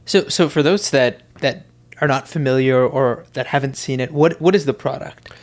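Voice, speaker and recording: A man hosting a podcast, asking interview questions in a relaxed, hesitant way with fillers. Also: mid-range voice, normal speed. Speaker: podcast host.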